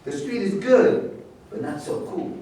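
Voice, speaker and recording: A man's voice: very high-pitched, measured pace, mellow. A man taking part in a staged reading of a play, reading from a script. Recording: echoey room, distant mic, faint noise.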